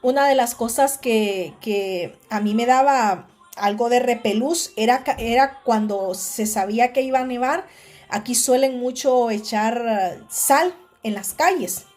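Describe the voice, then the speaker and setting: A woman's voice: a little high, measured pace, a little mellow. A Honduran woman with a Honduran Spanish accent gives a casual devotional talk, speaking conversationally with frequent restarts and tag questions.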